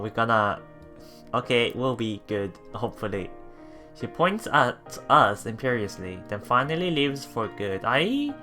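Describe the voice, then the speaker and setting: A man hosting a let's play, reading game text aloud with casual, halting reactions and repeated words. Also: mid-range voice, pushed and bright. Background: game music.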